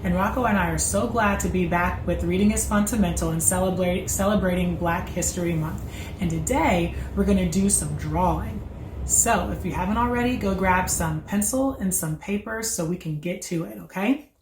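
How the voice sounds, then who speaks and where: A woman's voice: on the low side, moderate pace, background noise. Woman teaching in an echoey room. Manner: encouraging, repeats and confirms answers. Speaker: host of a children's read-aloud. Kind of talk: educational chat, quizzing a child.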